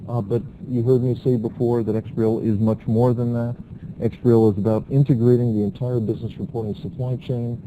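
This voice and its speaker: American guy lecturing, lowish dark voice, lots of uh pauses. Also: a little hiss or hum, rough recording. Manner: explanatory, pauses with frequent fillers. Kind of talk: recorded university lecture.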